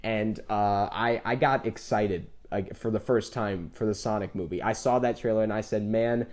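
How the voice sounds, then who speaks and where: A man's voice: on the low side, steady tone, moderate pace, darkish tone. A man co-hosting an enthusiastic, casual discussion about films, opinionated and stressing his points with repetition. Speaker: podcast co-host.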